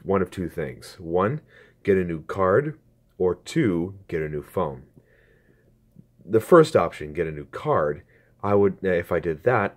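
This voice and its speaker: A man in his twenties talks to his viewers through a phone camera, earnest and halting, with a strained throat. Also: sits low. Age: 20s. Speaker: YouTuber. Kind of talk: casual talk to camera.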